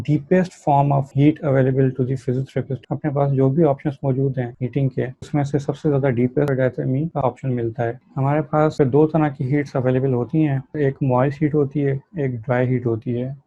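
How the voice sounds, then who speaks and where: Flat-toned man giving a lecture. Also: mid-range voice, no room sound, highs cut off. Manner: didactic, reads terms out then explains them. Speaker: physiotherapy lecturer. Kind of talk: explanatory lecture, mixing technical terms with casual explanation.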